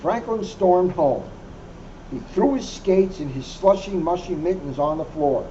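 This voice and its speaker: A police officer reading a children's picture book aloud, adding wry asides. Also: male voice, high voice, lively intonation, a little slow, warm tone. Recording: muffled lo-fi recording with faint hum.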